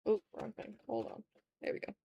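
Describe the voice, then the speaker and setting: A woman's voice: fairly quick, highs cut off. A woman streamer talking casually and informally, half to herself and half to viewers, as she works on art. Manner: informal, self-critical muttering to herself and viewers. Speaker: streamer hosting an art livestream. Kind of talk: casual chat while drawing.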